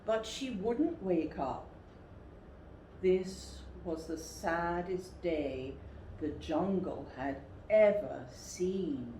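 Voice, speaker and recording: A woman reading a picture book to children as a storyteller, with deliberate, dramatic emphasis. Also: fairly low pitch, expressive, warm tone. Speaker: storyteller, teacher. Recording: roomy echo, faint background noise.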